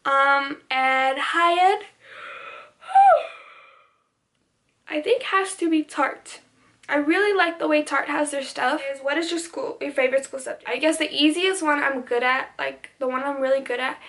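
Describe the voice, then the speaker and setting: Teenage girl, high voice, native Spanish speaker, casual vlog Q&A, chatty, full of "like". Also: fairly bright tone. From United States. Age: teens. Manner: chatty, peppered with "like", restarts sentences. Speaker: beauty vlogger.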